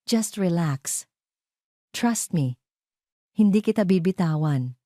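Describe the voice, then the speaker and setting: Romance lead: female narrator as soft-spoken, reassuring rich man, low and dark-toned. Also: animated, dry recording, studio quality. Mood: intimate, soothing. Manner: soft, slow, soothing. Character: calm, tender, reassuring wealthy man.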